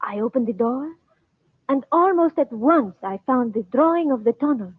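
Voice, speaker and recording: An actress playing an anxious, devoted Egyptian princess in an old-time radio drama, with formal, foreign-sounding phrasing. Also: female voice, high-pitched, animated, normal speed, husky. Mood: earnest, urgent. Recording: thin, roomy, phone-like old radio recording.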